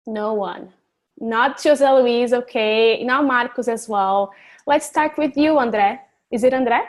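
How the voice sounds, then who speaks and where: A woman's voice: a little high, unhurried. Chatty, encouraging woman teacher on a call. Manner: chatty, encouraging, laughs easily, self-deprecating. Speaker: English teacher. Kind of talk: online class, casual teaching.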